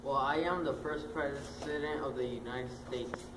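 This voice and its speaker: A man's voice: medium pitch, moderate pace, close to an everyday voice. A man playing a dignified founding president, reciting facts plainly. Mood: earnest. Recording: echoey room, far from mic, loud noise.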